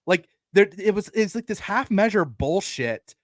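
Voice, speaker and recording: A man's voice: high-pitched, lively intonation, a little slow, dry recording, clean studio sound. A man co-hosting a sports podcast, arguing emphatically about college football in casual, sometimes profane language. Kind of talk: opinionated college football debate.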